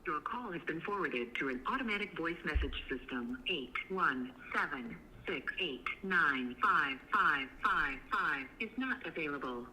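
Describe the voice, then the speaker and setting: Crisp, very bright phone-system announcer, even delivery. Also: medium pitch, a little slow, hardly any pauses, slight background noise, rough recording. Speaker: automated voicemail system. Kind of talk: recorded voicemail prompt.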